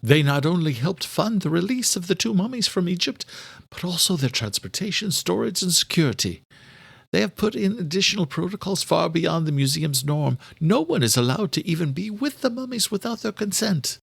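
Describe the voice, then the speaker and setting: A man voicing a courteous but firm female museum director in audiobook dialogue, speaking in a formal, measured, official way. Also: sits high, expressive, vocal fry, fairly bright tone, husky, dry recording, pitched above the performer's own voice, exaggerated voice.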